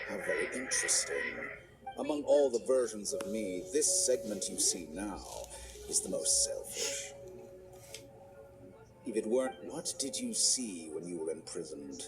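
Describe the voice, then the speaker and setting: An actor voicing an arrogant, scheming male scholar villain in a game cutscene, smooth and mocking, sometimes breaking into shouts. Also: fairly low pitch, low-quality recording. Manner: smooth, mocking, theatrical, with sudden shouting outbursts. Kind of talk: video game cutscene voice acting. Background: game score music.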